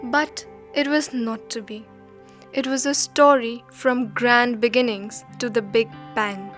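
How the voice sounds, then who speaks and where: A woman narrating a scripted documentary drama in a measured, solemn storytelling manner. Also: fairly high pitch, fairly even intonation, a bit bright, soft background music.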